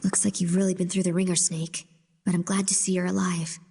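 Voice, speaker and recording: Husky, coaxing young spy. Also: female voice, lowish voice, flat delivery, brisk, warm tone. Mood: concerned, secretive. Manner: coy, casual, coaxing. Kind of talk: video game cutscene acting.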